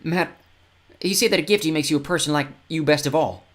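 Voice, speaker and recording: A man's voice: a little high, a little fast, pitched above the performer's own voice, lightly acted. A man voicing a grubby, cheeky young boy from the fen who talks in broken dialect grammar. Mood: eager.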